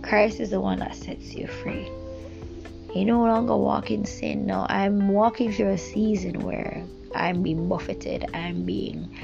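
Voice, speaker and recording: A woman's voice: mid-range voice, creaky. A woman with a Jamaican accent giving an earnest, rambling devotional talk, full of fillers and restarts. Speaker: devotional speaker. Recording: dull lo-fi recording with music behind.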